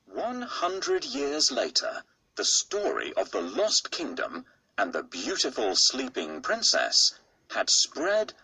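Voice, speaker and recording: Slow, gravelly man telling a bedtime story. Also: medium pitch, huge pitch swings, pushed and bright, rough recording. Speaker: narrator. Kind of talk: storybook read-aloud.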